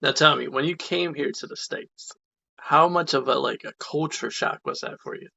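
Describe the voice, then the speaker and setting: A man hosting an interview podcast with a gravelly, forceful edge to his speech. He sounds lively and eager, chatting as he draws out his guest. The sound is a little dull and lo-fi. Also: on the high side, moderate pace. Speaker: podcast host.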